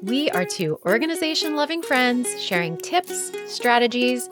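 A woman co-hosting a podcast in casual chat, friendly and agreeable, often chiming in with quick yeahs. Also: on the high side, sing-song, over music. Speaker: podcast co-host, design firm co-owner.

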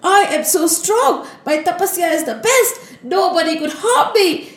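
Woman voicing a high-pitched, gloating male sage. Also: very animated, moderate pace. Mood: elated, boastful. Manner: exclamatory, self-glorifying, repeating boasts emphatically. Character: boastful, gleeful male sage gloating over his victory. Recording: echoey room.

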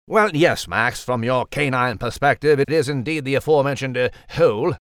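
Pompous British gentleman mouse, played by a man. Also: mid-range voice, normal speed, clear, resonant tone, no room sound, clean studio sound, lightly acted. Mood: stuffy. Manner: pompous, wordy, formal. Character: fussy, verbose gentlemanly male mouse. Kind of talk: scripted comic podcast skit.

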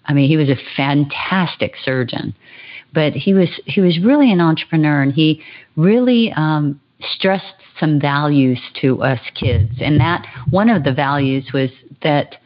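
A woman's voice: deep voice, expressive, unhurried, lo-fi sound. A woman from Hawaii, a podcast guest telling stories about her childhood and laughing easily. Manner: warm storytelling, laughs easily. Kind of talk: podcast interview.

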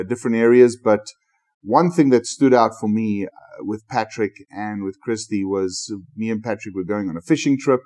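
A man with a lowish voice hosts a casual podcast interview. He talks with eager enthusiasm and rambles through restarts. His tone is informal and chatty. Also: darkish tone. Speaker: podcast host.

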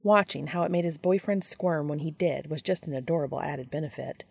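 A woman's voice: deep voice, a little fast, vocal fry, a little mellow, husky, no room sound, clean studio sound. A woman reading fiction aloud as scripted audiobook narration. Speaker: audiobook reader of fan fiction.

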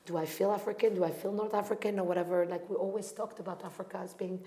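A woman's voice: medium pitch, fairly even intonation, fast talker, mellow. A woman from Morocco speaking reflectively as a founder taking part in a panel discussion. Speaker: art fair founder on a panel.

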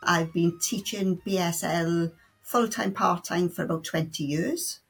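A woman's voice: fairly low pitch, measured pace, breathy edge. A middle-aged woman with a South Welsh accent, a sign language tutor answering interview questions in a chatty way, full of restarts and playful asides. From South Wales.

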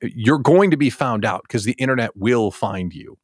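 A man hosting a casual live show, talking straight to viewers in an animated, theatrical way with exaggerated emphasis. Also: medium pitch, normal speed, breathy edge, dry recording, studio quality. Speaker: host of a daily live show for small business owners.

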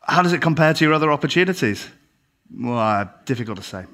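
A man on a podcast, a recruitment business owner, telling lively anecdotes and acting out quoted dialogue. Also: fairly high pitch, fast. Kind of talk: casual podcast chat.

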